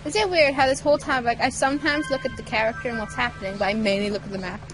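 Giggly woman chatting over a game, highish voice, game music behind her. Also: racing, poor audio. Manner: giggly, playful, acts out silly lines. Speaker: co-commentator on a game playthrough. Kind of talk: casual gameplay chat.

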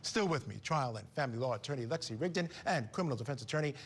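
A middle-aged man hosting a TV comment segment in a studio, talking with an animated, conversational manner on a lapel mic. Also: mid-range voice, rapid-fire, a little hiss or hum. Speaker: TV news host.

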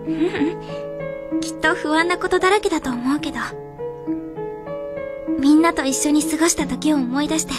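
An actress playing a shy, apologetic high school girl in an earnest, tender radio drama monologue. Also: lightly acted. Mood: grateful, apologetic.

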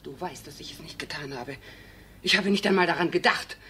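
An actress playing a distraught, defiant widow with emotional intensity in a crime radio drama. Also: female voice, sits low, a little fast, a bit bright, breathy edge, exaggerated voice. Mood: shaken, accusing. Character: distraught, defiant widow facing a dangerous former lover.

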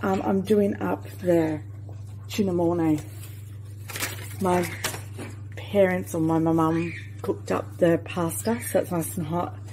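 A woman's voice: on the low side, steady tone, takes it slowly, long pauses, a little hiss or hum, poor audio. A woman casually talking through her cooking in a home kitchen, chatty with trailing fillers, filmed on a handheld phone. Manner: chatty, trailing fillers, sometimes soft and near-whispered. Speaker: cooking vlogger. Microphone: handheld phone camera.

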